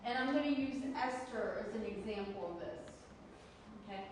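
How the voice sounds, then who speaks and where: A woman's voice: mid-range voice, normal speed. A woman instructor giving a classroom lecture in an emphatic, didactic way, often checking in with rhetorical questions. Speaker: counseling course instructor. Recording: very echoey hall, distant mic, faint noise.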